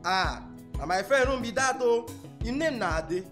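A man's voice: very high voice, expressive, normal speed. A young Nigerian man acting a helpful, jokey senior student in a Pidgin radio drama. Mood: friendly. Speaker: actor. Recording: music under the voice.

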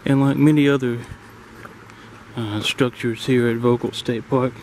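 A middle-aged man casually narrating a hike on a wooded lakeside trail in a relaxed, admiring way, recorded on a handheld camera. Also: medium pitch, measured pace, low-quality recording. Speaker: hiking vlogger. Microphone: handheld camera mic, speaker off camera. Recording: faint outdoor noise.

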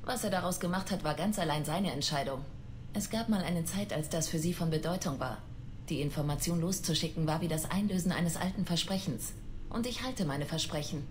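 A woman's voice: on the low side, fairly quick, a little hiss or hum, natural voice. A woman playing a proud, self-possessed officer, cool and assertive, in a video game. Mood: defiant. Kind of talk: dramatic video game acting.

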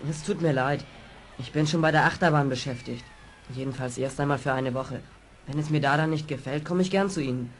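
An actor as an earnest young fairground lad. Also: male voice, medium pitch, brisk, a little hiss or hum, natural voice. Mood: polite. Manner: earnest, polite. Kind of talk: children's radio play acting.

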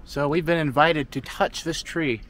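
A man's voice: fairly high pitch, moderate pace. Chatty young man vlogging on a forest trail. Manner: chatty, joking, relaxed commentary. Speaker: travel vlogger. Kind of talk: casual vlog narration while hiking. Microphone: action camera at arm's length.

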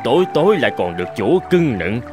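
A man's voice: medium pitch, sing-song, fast, music in the background, natural voice. A man voicing an envious, weary animal in a children's cartoon, grumbling wistfully.

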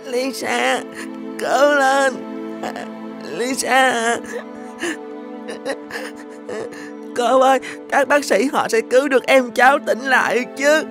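Cartoon orphan boy, protective and tender, highish voice, earnest delivery over music. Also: steady tone, slow pace. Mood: panicked, pleading. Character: caring, earnest young orphan boy, protective older brother. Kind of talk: animated children's story dubbing.

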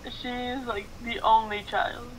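A woman's voice: on the high side, level tone, a little slow. A woman chatting casually as a friend reacting along on an online voice call. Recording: slightly noisy voice call.

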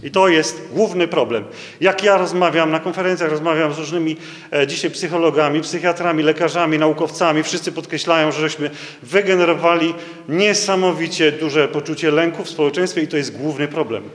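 A middle-aged man giving a conference talk at a stand microphone. He reads legal passages aloud in a halting way, with many fillers and restarts. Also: on the high side, fairly even intonation. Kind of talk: conference lecture reading out court rulings. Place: conference hall, indoors, standing at a lectern. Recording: echoey hall, long reverb.

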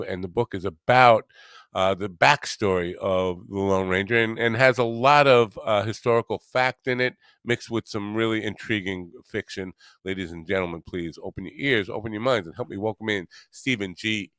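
An older man hosting a podcast interview in a casual, halting, self-deprecating way, speaking into a studio microphone. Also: sits low, a bit bright, dry recording. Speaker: podcast host. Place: indoor home studio. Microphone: close studio mic on boom arm, headset.

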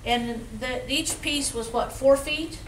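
An older woman, a retired teacher with a loud voice, chattily recounting memories to an audience at a community museum talk. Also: high voice, takes it slowly, noisy background. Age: 70s. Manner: chatty, animated storyteller with gestures. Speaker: guest speaker. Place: community museum meeting room, indoors. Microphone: handheld mic, far camera. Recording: roomy, distant camera audio.

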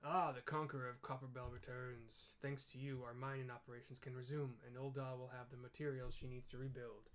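A man's voice: mid-range voice, level tone, fairly quick, hardly any pauses, natural voice. A man voicing a grateful, formal mine boss from a fantasy game in a hearty, declamatory way.

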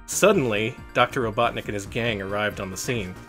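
A man's voice: fairly low pitch, fairly quick, a bit bright, soft background music. A man narrating a children's storybook expressively and theatrically. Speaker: storybook reader on a livestream show.

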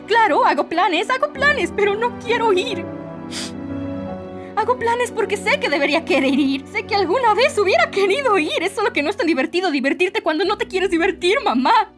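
An anguished young woman with a Latin American accent, acted by a woman in a fan dub, speaks in a very high voice over music. She delivers dramatic spoken-word poetry addressed to her mother. Her manner is emotive and pleading, with dramatic pauses. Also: animated. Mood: bitter, rising distress.

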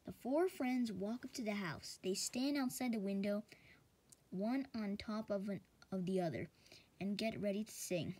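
A woman reading a children's fairy tale aloud, slightly halting, sometimes repeating words. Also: medium pitch, warm tone, husky.

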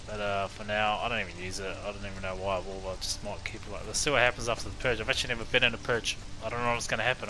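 A man's voice: mid-range voice, noisy background. A man from New Zealand with a New Zealand accent, casually rambling and swearing through a game base tour. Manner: laid-back, rambling, swears freely. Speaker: gameplay streamer.

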